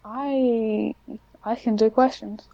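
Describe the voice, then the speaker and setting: A woman's voice: fairly high pitch, fairly even intonation, slow, creaky, mellow, no echo, dull audio. A woman chatting casually as an interview guest, speaking in a hesitant, self-conscious way with frequent restarts. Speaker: podcast guest, scriptwriter.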